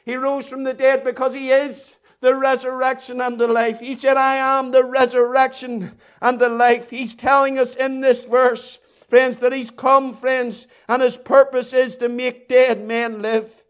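High-pitched man preaching an emphatic sermon. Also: flat delivery, smooth. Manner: emphatic, repetitive, dramatic delivery with drawn-out phrases. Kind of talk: impassioned evangelistic sermon.

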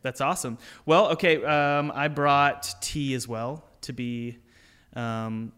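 A man hosts a podcast in a chummy, enthusiastic way, calling his guest "dude." His voice has a forceful, bright edge at a middling pitch. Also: steady tone, takes it slowly, clear, resonant tone. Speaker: podcast host. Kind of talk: casual interview chat.

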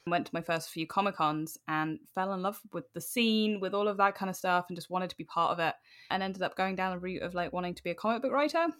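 A woman with a British accent chatting casually as a podcast guest, telling stories in an animated, informal way. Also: fairly low pitch, fast talker. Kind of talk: casual chat.

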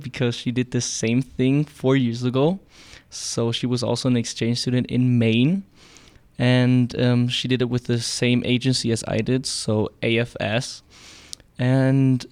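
Even-toned young man with a German accent. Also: medium pitch, clear, resonant tone, no echo. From Cologne, Germany. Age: teens. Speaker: interview guest, exchange student. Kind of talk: casual interview answers.

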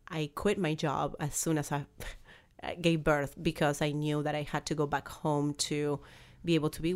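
A woman in her forties with an Argentine Spanish accent, a podcast guest who speaks haltingly, with many restarts, fillers and small laughs. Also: deep voice. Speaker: guest, business founder. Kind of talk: conversational interview.

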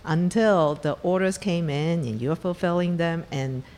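A woman's voice: on the low side, unhurried, darkish tone, in a large hall, slight background noise. A middle-aged woman from Taiwan, a venture investor telling her startup story in an interview, candid and blunt. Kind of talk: conversational interview.

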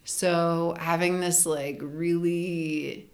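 A young woman chatting as a podcast guest, her voice sitting a little low with a gravelly, fried edge. She explains things loosely, stringing thoughts together with plenty of "like" and "um." It sounds like relaxed conversation, not a lecture. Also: drawn-out words. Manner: chatty, frequent "like" fillers, laughs while explaining. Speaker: linguist and anthropologist guest. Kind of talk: casual podcast interview.

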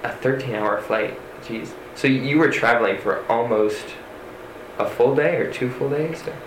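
A man's voice: mid-range voice, a little slow. Man interviewer in an echoey room. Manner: brief, encouraging interjections. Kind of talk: casual interview questions. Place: room in a mosque. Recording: echoey room with ambient noise.

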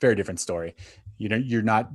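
A man's voice: lowish voice, fast talker, slightly rough, no room sound. A man co-hosting a podcast, casually discussing films in an opinionated, conversational way. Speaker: podcast co-host.

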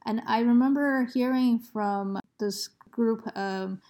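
A woman hosting an interview, asking questions slowly and thoughtfully with frequent hesitations. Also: a little high, dark tone. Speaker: interviewer host. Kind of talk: conversational interview.

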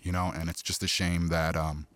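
A man who grew up in New York speaking Spanish first talks as a musician guest in an interview, halting and full of fillers. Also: deep voice, dry recording. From Queens, New York City, US. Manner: relaxed, halting, full of fillers like "you know" and "um". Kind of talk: casual interview talk.

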